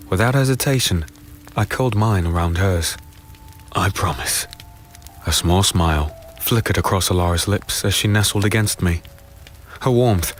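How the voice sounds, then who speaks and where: A man playing a weary, brooding fantasy hero who narrates his own dark tale in a deliberate, somber, dramatic way. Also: low-pitched, natural voice. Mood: somber, tender. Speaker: narrator and lead character. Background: music.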